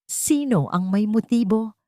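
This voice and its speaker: A woman's voice: mid-range voice, animated, a little slow, dark tone, no echo, studio quality, voice pushed up, lightly acted. A woman voicing a protective wealthy young father in an audio drama, firm and intense. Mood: suspicious, tense.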